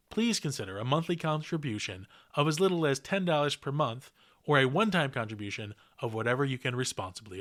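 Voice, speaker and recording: Male podcast host, mid-pitched and highly expressive, formal scripted delivery with deliberate emphasis. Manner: formal, ornate diction.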